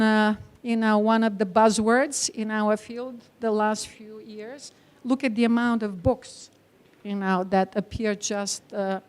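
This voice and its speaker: A Bulgarian woman, a non-native English speaker with a Bulgarian accent, giving an academic conference lecture in an emphatic, engaging way. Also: fairly high pitch. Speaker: academic speaker, teacher trainer.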